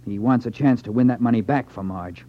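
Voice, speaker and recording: A man's voice: mid-range voice, fairly quick, close to an everyday voice. An actor in a 1950s radio drama playing a naive young man who speaks in an anxious, hesitant, earnest way. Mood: nervous, earnest. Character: nervous, naive young man mixed up with gamblers. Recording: dull 1950s radio broadcast recording.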